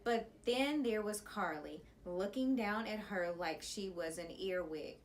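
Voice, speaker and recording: A woman reading a children's chapter book aloud as a teacher, in an expressive storytelling style. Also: mid-range voice, unhurried, slight background noise.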